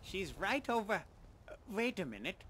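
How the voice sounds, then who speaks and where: Give the voice of a jovial, absent-minded man played by an actor in a fantasy game. He rambles in a high, wildly swooping voice. There is faint noise behind him. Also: big pitch swings, moderate pace, fairly bright tone. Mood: flustered, cheerful. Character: jovial scatterbrained husband chattering at a party. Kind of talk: video game character dialogue.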